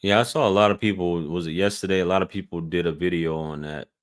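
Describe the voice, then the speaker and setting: Deep-voiced man hosting a live Q&A. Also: fairly even intonation, quick pace, clear, resonant tone. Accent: Southern American. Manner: laid-back, reads out chat questions and answers them. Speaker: livestream host answering viewer questions. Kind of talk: casual live Q&A chat.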